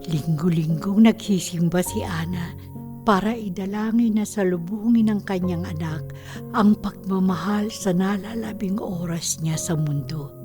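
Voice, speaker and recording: A woman's voice: lowish voice, unhurried, over music. A woman reading scripted narration for a radio drama.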